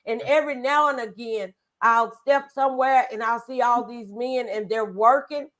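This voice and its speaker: High-pitched woman hosting inspirational talk. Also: steady tone, moderate pace, fairly bright tone. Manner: exhorting, conversational. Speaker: talk show host.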